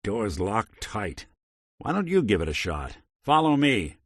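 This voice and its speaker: An actor playing a world-weary male synth private detective in a video game, with a gravelly voice and dry, wry noir delivery. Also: lowish voice, sing-song, a little slow, dry recording, heavily acted. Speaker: companion character.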